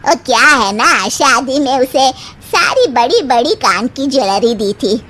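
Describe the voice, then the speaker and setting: Woman as a nagging, slow-talking older mother-in-law. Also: high-pitched, expressive, fairly bright tone, exaggerated voice. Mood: demanding. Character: demanding, nagging older mother-in-law, partial to her daughter.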